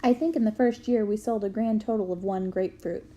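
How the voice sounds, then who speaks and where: A woman reading a memoir aloud to students in a clear storytelling manner. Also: mid-range voice, fairly even intonation, fairly quick. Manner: clear storytelling, bursts into loud shouts for quoted yells.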